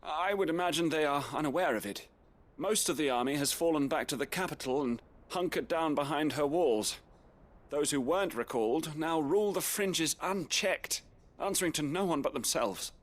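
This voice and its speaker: A resourceful, well-spoken traveller played by a man in a video game cutscene. His voice sits a little high, and he talks with dry, polite wryness. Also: expressive, moderate pace, lightly acted. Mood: wry, informative.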